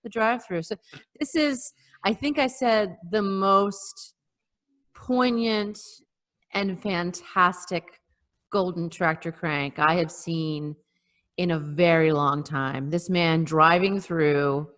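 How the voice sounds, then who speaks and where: A woman's voice: fairly low pitch, slow pace, a bit bright. A middle-aged woman in casual, opinionated panel chat over a video call, with a sardonic way of talking. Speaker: podcast panelist.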